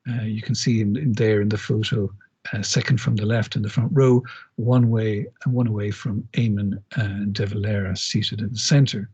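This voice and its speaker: A man giving an academic lecture, measured and scholarly, pausing on "uh" and correcting himself as he goes. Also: mid-range voice, a little mellow, slightly rough, highs cut off. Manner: measured, with frequent "uh" pauses and self-corrections. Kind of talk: academic lecture, partly read. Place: lecture delivered online or in a lecture room.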